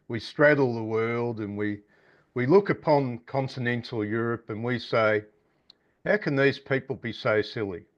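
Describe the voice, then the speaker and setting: A man's voice: medium pitch, a bit bright. A man, an audience member on a video call, asking a question in a chatty and wry way. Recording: dull lo-fi video call audio.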